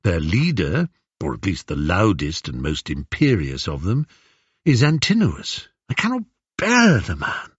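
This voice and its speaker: A man's voice: deep voice, big pitch swings, a little slow, breathy edge, dry recording, lo-fi sound, heavily acted. A man voicing a gracious, weary queen in a storybook, speaking with formal, regal courtesy. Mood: anguished. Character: gracious, weary, dignified queen, a grown woman.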